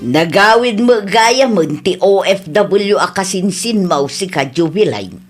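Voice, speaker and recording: A woman's voice: mid-range voice, lively intonation, unhurried, music in the background, lightly acted. A woman with an Ilocano Filipino accent acting a plain-spoken woman who pleads and reproves in a radio comedy drama. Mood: reproving.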